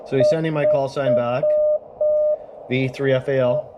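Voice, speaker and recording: A man from Canada chats through his ham radio contact in a laid-back, filler-heavy way at a middling pitch. The action-camera audio is noisy, with beeping code tones in the background. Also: normal speed. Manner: relaxed, explanatory, with many fillers. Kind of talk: casual narration of a radio contact.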